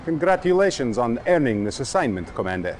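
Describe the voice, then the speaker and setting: An actor playing a brisk Soviet field officer, giving a formal, emphatic military briefing in a video game. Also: male voice, medium pitch, big pitch swings, a little mellow, a little hiss or hum, lightly acted. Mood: brisk, resolute.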